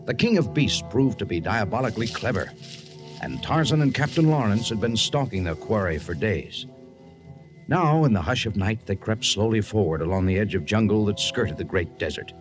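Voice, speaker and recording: Solemn storyteller, lowish voice, suspenseful literary narration, vintage radio drama, music behind. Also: male voice, sing-song, normal speed. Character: solemn storyteller of a jungle adventure.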